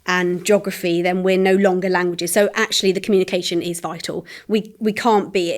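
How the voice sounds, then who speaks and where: A woman teacher trainer answering as a podcast guest, earnest and thinking aloud with frequent restarts and light laughs. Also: medium pitch.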